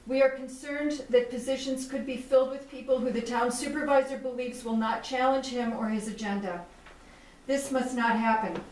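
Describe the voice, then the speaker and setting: A woman's voice: fairly high pitch, steady tone, darkish tone. Firm woman reading a statement. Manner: firm and deliberate, listing demands point by point. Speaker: resident reading a statement at public comment. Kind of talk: formal prepared statement read aloud. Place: town board meeting room. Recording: echoey room from a distant mic, faint hiss.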